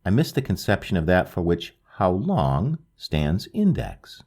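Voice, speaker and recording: A man with a low, gravelly voice reads a literary ghost story as an audiobook narrator. His delivery is slow and hushed, lingering on each phrase, while his pitch rises and falls widely from line to line. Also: very animated.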